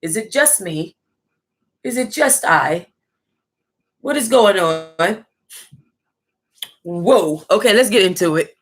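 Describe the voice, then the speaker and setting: An American woman hosting an online book club, reading aloud casually in an animated, salty-tongued way and breaking off to react. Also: mid-range voice, slow pace, pauses often. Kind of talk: casual livestream read-aloud with running reactions.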